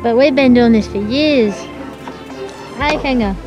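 A woman's voice: fairly high pitch, unhurried, over music. A young woman from Australia chatting casually in a travel vlog, rambling a little and tagging her sentences with "hey", recorded on an action camera inside a four-wheel-drive. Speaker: vlogger. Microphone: action camera on the dashboard.

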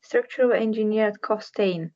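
A woman's voice: medium pitch, normal speed, warm tone. A woman in her twenties from Bulgaria, with a Bulgarian accent, giving an informal careers talk to camera as an engineer. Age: 20s. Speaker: civil structural engineer, careers ambassador. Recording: dull, muffled webcam audio.

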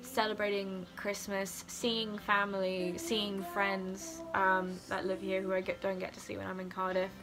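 A woman's voice: mid-range voice, monotone, measured pace, few pauses. A young woman vlogging casually from her living room, talking in an informal, candid, rambling way. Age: young adult. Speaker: student vlogger. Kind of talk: casual vlog chat to camera. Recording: music playing behind. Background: music.

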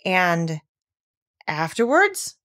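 A woman's voice: on the low side, sing-song, extremely slow, fairly bright tone, exaggerated voice. A little male piglet from a storybook as a woman plays him, timid and anxious. He talks hesitantly, as if unsure of himself. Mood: nervous. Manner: hesitant, worried.

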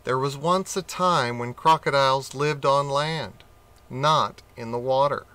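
A man reading a children's picture book aloud, slowly and expressively. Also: mid-range voice, smooth. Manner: slow, expressive reading with character voices. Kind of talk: storybook read-aloud.

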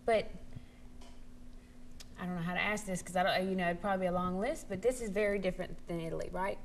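A woman's voice: lowish voice, fast talker. A young adult woman co-hosting a TV chat show, asking bubbly, eager questions. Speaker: co-host. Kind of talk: casual studio chat. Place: TV studio set. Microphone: lapel.

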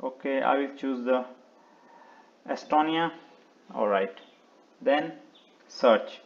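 A man presenting an unscripted step-by-step website walkthrough in short, halting instructional phrases, often repeating words. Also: medium pitch, creaky, muffled recording. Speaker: video tutorial presenter.

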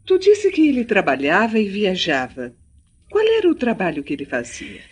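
An actress playing a warm, inquisitive rural woman in a Brazilian radio drama. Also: mid-range voice, wildly swooping pitch, moderate pace, breathy edge.

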